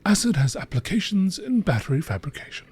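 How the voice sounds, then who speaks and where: A man reading scripted narration in a nature documentary style, in a measured, informative manner. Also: high-pitched, sing-song, background noise.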